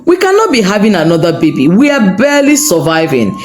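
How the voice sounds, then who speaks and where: A woman's voice: medium pitch, wildly swooping pitch, brisk, darkish tone, reverberant, faint music behind. A woman storyteller voicing a worried, poor labourer husband in a folktale, earnest and insistent. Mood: worried insistence.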